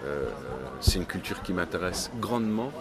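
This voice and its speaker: A man's voice: low-pitched, lively intonation, moderate pace, loud music behind the voice. A man from France chatting casually outdoors, hesitant and curious. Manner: hesitant, many fillers and restarts, curious. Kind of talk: casual street conversation. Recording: clear crowd behind.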